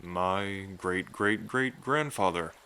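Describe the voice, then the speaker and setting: A man playing a romantic dragon king in an intimate ASMR roleplay, tender and unhurried, with playful pride as he talks to the listener. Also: deep voice, bright, forceful tone, clear, resonant tone, heavily acted. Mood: reverent, storytelling.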